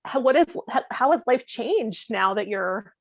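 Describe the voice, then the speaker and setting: A woman's voice: on the high side, animated, measured pace, creaky, no room sound. A woman co-hosting a podcast in casual, comedic conversation. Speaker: podcast co-host. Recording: dull, dry audio.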